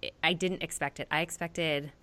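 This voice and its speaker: A woman's voice: low-pitched, fairly even intonation, moderate pace, sharp tone, clear, resonant tone, dry recording. A woman hosting a podcast, chatty and enthusiastic, interviewing a guest and closing the show. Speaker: podcast host. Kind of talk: casual interview and show outro.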